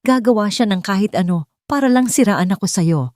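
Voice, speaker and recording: A woman's voice: medium pitch, lively intonation, a little fast, a little mellow, no echo, clean studio sound, natural voice. Woman as a pleading, scheming wife. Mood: tearful, accusing. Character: scheming tearful wife, manipulative and accusing.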